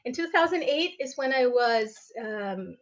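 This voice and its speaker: Female English teacher from Brazil hosting a group call; high-ish voice over muffled audio. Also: expressive, measured pace. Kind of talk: online group video call. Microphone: video call.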